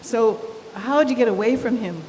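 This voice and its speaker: A woman's voice: fairly high pitch, normal speed, a little mellow, natural voice. A woman playing a tough, suspicious smuggler with blunt, earthy speech in an old-time radio drama, recorded in a hall. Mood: relieved, pleased. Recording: echoey live hall, faint noise.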